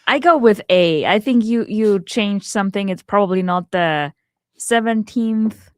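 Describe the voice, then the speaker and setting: A woman's voice: medium pitch, measured pace, no echo, studio quality. A woman co-host in a casual panel chat, hesitant and thinking aloud.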